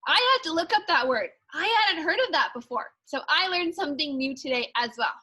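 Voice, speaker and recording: A woman with a very high, bright voice reads a children's picture book aloud as a primary school teacher would. Her delivery is expressive and deliberate, leaning on key words for dramatic emphasis. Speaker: second grade teacher.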